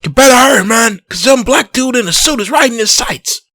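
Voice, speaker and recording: A man voicing an anxious, excitable street informant in a comic dub, with a raspy, strained voice. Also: very high-pitched, a little fast, no room sound, clean studio sound, heavily acted. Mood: anxious warning. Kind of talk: comic book dub acting.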